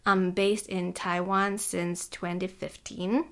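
A woman's voice: lowish voice, level tone, slow pace, fairly bright tone. Easygoing woman, chatty guest. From Singapore. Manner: easygoing, peppers speech with "like", laughs often. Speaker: guest, climbing guide. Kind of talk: casual interview chat. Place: office.

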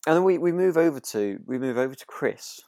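Podcast co-host: man, mid pitch, dry sound, hesitant, agreeable, conversational. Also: animated, fairly quick, mellow, no room sound. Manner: hesitant, repeats and trails off, agreeable. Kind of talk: casual discussion of a radio drama.

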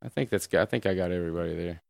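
A man hosting a livestream show, chatting casually with a co-host and reading out donations. He swears a lot, laughs often and trails off quietly at the end of sentences. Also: sits low, racing, vocal fry, no room sound, clean studio sound. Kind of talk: casual chat.

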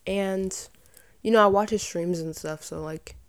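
A young woman with a slightly raspy voice and some vocal fry at sentence ends, chatting casually and giving her opinions as a podcast host. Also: medium pitch, unhurried, no room sound. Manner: informal, rambling, opinionated. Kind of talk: casual chatty solo commentary.

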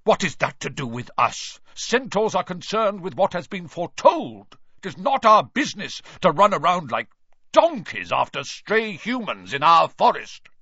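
A man's voice: sits low, a little slow, few pauses, a bit bright, no echo, voice pushed down. A man reading aloud and voicing a proud, hot-tempered male centaur with a growling, thunderous delivery. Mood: furious. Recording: dull, muffled recording.

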